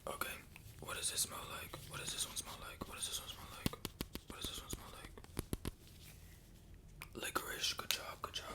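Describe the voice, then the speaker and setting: A man whispering in a breathy voice as an ASMR medical examiner, giving step-by-step instructions with soft, repeated reassurance. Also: moderate pace, long pauses. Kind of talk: fast whispered ASMR medical exam roleplay.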